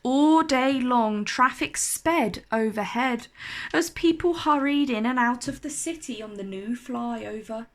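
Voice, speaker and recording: A woman reading a children's storybook aloud in an expressive, animated, carefully enunciated way. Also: a little high, measured pace.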